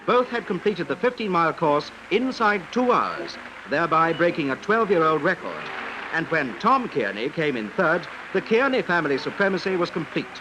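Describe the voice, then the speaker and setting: Male newsreel narrator, high-pitched, lively intonation, formal dramatic delivery, vintage 1950s soundtrack. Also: moderate pace, background noise.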